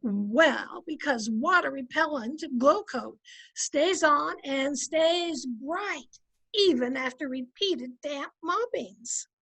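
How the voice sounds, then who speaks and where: An actress playing a wry, chatty housewife who quips and persuades in old-time radio comedy style. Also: female voice, high-pitched, lively intonation, takes it slowly, gravelly, a bit bright, heavily acted, rough recording. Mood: chatty, persuasive. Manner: quipping, persuasive. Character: wry, chatty housewife, wife of census taker.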